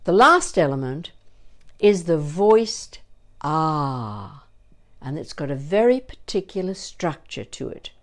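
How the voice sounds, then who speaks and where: Chatty older woman coaching voice, lowish pitch. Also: big pitch swings, slow pace. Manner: chatty, digressive, playful. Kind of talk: informal instructional talk with demonstrated vocal exercises.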